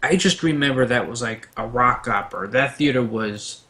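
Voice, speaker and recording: A man's voice: mid-range voice, normal speed, bright, forceful tone. A man on a casual pop-culture debate panel, sharing trivia in a rambling, anecdotal and emphatic way.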